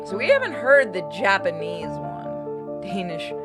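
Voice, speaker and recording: A woman's voice: medium pitch, big pitch swings, unhurried. A woman giving casual, blunt commentary as she plays through a game. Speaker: game streamer. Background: game music.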